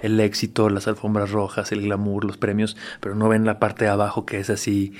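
A Mexican actor in his forties chatting in an interview, relaxed and frank, with slang and frequent tag questions. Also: on the low side, brisk. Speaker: actor and guest. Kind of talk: casual interview chat.

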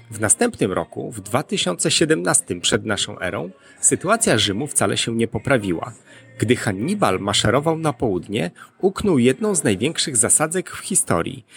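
Male narrator, mid-pitched, animated delivery, creaky fry, scripted educational podcast.

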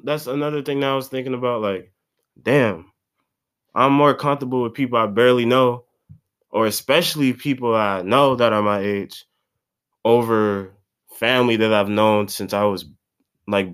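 A man's voice: medium pitch, moderate pace, a bit bright. A man of about twenty, congested, rambling in a slangy, profane solo podcast monologue. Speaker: podcast host.

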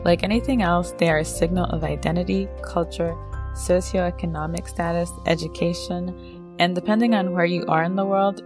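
A woman with a standard American accent hosting a podcast, explaining a topic in a measured, scripted way. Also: fairly low pitch. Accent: General American. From United States. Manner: measured, explanatory, reads from prepared notes. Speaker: podcast host.

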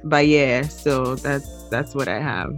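Low-voiced woman from Ghana, chatty co-host. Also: slow pace, faint music behind. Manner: relaxed, chatty, with frequent fillers and laughs. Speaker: podcast co-host. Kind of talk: casual chat.

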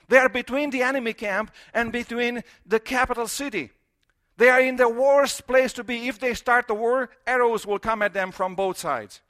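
High, forceful preacher. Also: male voice. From Romania. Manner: emphatic, building with repeated phrases and rhetorical questions. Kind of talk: sermon.

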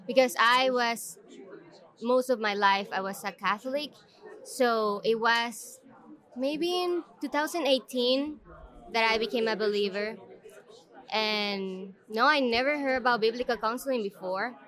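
A young woman from El Salvador, speaking English as a guest in a casual interview, earnest and quick to laugh, with frequent "um" pauses. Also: a little high, a bit bright. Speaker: guest, ministry content manager.

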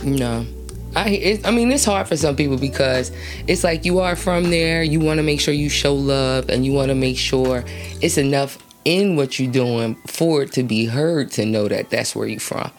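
A woman's voice: very deep voice, moderate pace, soft background music. A woman hosting a casual interview, chatty and slangy, asking long, rambling questions and laughing easily. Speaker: interview host.

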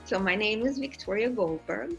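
A woman's voice: medium pitch, lively intonation, moderate pace, gravelly, soft background music. A woman in her fifties with a Russian accent, wryly telling her personal story on a podcast with many fillers. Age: 50s. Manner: candid, wry, many fillers. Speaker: podcast producer and guest.

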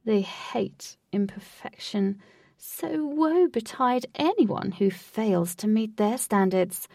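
A woman voicing a crazed, taunting male master of a sinister ride in a storybook, with theatrical, drawn-out mockery. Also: medium pitch, animated, vocal fry, mellow, no room sound, clean studio sound, distant mic, exaggerated voice. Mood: gleefully sinister. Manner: theatrical, drawn-out mocking delivery.

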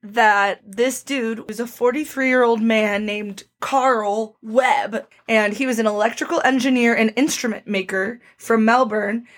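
Slightly hoarse woman reading podcast notes. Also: fairly high pitch, fairly even intonation, unhurried, hardly any pauses. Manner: playful, punning, reads lists of facts aloud. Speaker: podcast co-host. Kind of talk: casual podcast chat, reading background notes.

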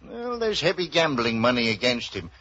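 An actor playing a boozy, whimsical middle-aged ex-doctor sidekick with a theatrical manner in a 1940s radio drama. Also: male voice, mid-range voice, very animated, moderate pace, bright, forceful tone. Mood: matter-of-fact. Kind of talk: 1940s radio character acting. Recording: dull old-time radio recording.

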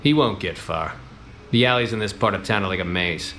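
An actor playing a cocky, bossy 1920s private detective in a noir radio comedy, with clipped wisecracking delivery. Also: male voice, fairly low pitch, fairly quick, pushed and bright, a little hiss or hum. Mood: cocky, scolding.

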